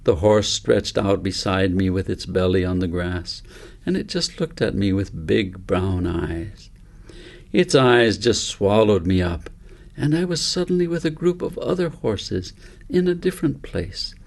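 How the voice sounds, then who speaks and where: A man's voice: lowish voice, lively intonation, unhurried, creaky. A man narrating a story as an author reading his own book aloud.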